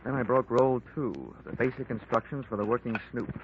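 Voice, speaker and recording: A man's voice: medium pitch, fairly quick, very noisy, natural voice. An actor playing a hard-boiled male insurance investigator who narrates his own case in a 1940s radio drama, dry and wisecracking. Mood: terse narration. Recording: muffled old radio broadcast with music.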